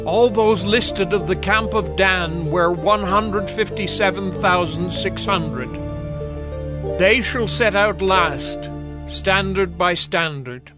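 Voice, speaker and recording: A man's voice: high voice, slow. A man giving a formal, declamatory reading of scripture from a script. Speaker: narrator. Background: prominent music.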